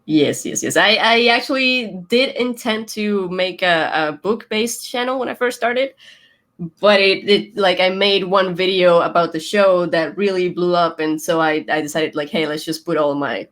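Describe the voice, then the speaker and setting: Young woman guest with a light Venezuelan accent, Spanish native, chatty and quick to laugh as she tells stories. Casual, rambling interview talk. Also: lowish voice, a bit bright. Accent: Venezuelan Spanish, softened. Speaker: guest, YouTuber.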